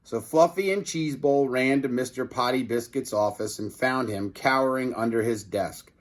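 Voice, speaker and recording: A man's voice: medium pitch, moderate pace. A man reading a children's story aloud as narrator, with brief asides to the listeners. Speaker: storybook reader.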